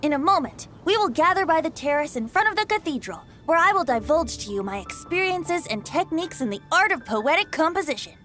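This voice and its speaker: A woman's voice: high-pitched, very animated, brisk, hardly any pauses, pushed and bright, faint music behind, exaggerated voice. A woman voicing a mischievous young male bard in a video game, theatrical, flowery and teasing. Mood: grandiose, cheerful. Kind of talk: animated video game voice acting.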